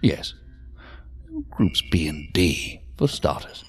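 An actor playing a mysterious, imperious man who gives clipped orders in an audio drama, savoring his words with a gravelly voice. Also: very deep voice, sing-song, takes it slowly, a bit bright, soft background music, exaggerated voice. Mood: coolly pleased. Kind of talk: science fiction audio drama acting.

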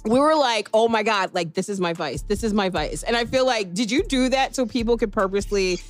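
A woman's voice: medium pitch, a little fast, soft background music. A woman hosting a casual interview, chatting in an enthusiastic, reactive way. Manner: enthusiastic, reactive, laughs easily. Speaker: interview host. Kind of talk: casual chat.

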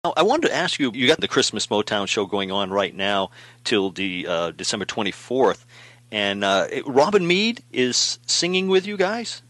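A man's voice: lowish voice, very animated, moderate pace, fairly bright tone, faint music behind. A man hosting a radio interview, asking friendly questions and giving many short acknowledgements. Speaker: radio host. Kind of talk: casual interview chat.

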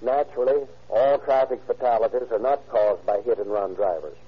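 A man's voice: mid-range voice, moderate pace, husky, natural voice. A man playing a police chief, making a solemn, earnest appeal in a 1940s radio address. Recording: muffled old radio.

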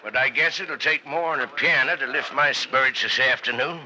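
Glum, sharp-voiced western mine boss. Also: male voice, mid-range voice, moderate pace, low-quality recording. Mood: glum, frustrated. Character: glum, plain-spoken western mine superintendent. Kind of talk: 1950s radio western acting. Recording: old radio recording.

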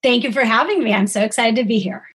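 Slightly husky, higher-pitched woman. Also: fast, a bit bright. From born in Greece, grew up in the United States. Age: 40s. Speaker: podcast guest, holistic nutritionist. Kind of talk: conversational personal storytelling.

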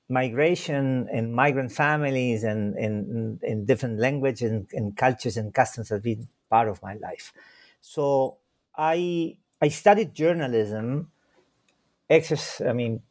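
Hesitant man from Chile, many restarts. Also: mid-range voice. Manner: hesitant, many repeated words and fillers. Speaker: guest scholar. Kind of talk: conversational interview.

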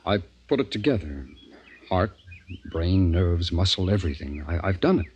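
An actor playing an anguished, secretive doctor in a 1950s radio drama, speaking haltingly and repeating himself. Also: male voice, low-pitched, expressive, darkish tone. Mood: intense, fearful. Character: anguished, secretive doctor confessing a forbidden experiment. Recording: noisy 1950s radio broadcast recording.

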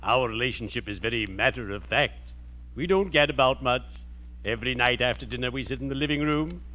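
Bright-voiced, morbidly genteel undertaker played by a man. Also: medium pitch, close to an everyday voice. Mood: dry, matter-of-fact. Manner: formal, solemn, drawn-out delivery with macabre puns. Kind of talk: 1940s radio comedy acting. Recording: dull, noisy old radio recording.

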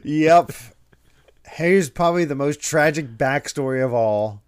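A man hosting a casual podcast, his voice a little croaky from a recent illness. He laughs often and retells scenes in quick quoted voices. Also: fairly high pitch. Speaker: podcast host.

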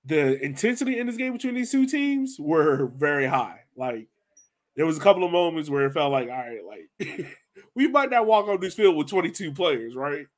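A man hosting a casual sports discussion, talking conversationally and putting questions to his co-hosts. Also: on the high side. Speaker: podcast host. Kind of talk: casual sports analysis chat.

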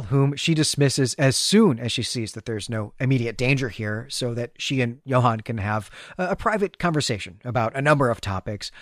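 A man's voice: mid-range voice, no room sound, studio quality. A man hosting a podcast, talking through a story recap in a conversational, analytical and explanatory way. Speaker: podcast host.

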